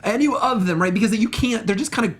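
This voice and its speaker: A man's voice: high voice, fast talker. A man co-hosting a casual review chat, slangy and emphatic, often repeating his phrases. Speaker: co-host of a movie review show.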